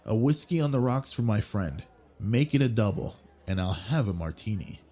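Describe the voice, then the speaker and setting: A man voicing an obsessive yandere man on a blind date, talking with quiet, smooth charm in a scripted audio roleplay, close on the mic. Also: lowish voice, expressive, normal speed. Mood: flirty. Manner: quiet brooding inner monologue, then smooth flirty charm.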